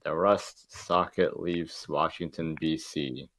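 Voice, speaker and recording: Low-voiced man reading stage directions. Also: steady tone, takes it slowly, a bit bright. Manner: stumbling over the script. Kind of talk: scripted narration in an amateur table read.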